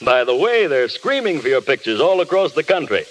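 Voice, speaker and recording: An actor playing a kindly middle-aged film studio boss, urbane and soothing, in 1930s radio style. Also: male voice, fairly high pitch, sing-song, a little fast. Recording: faintly crackling 1930s radio recording.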